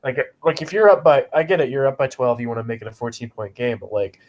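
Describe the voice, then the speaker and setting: A mid-pitched man with a head cold sniffles through a casual football podcast. He reads off game stats with blunt, profane comments. His voice is neither high nor low. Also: animated, fast. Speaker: podcast co-host. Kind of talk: casual sports chat.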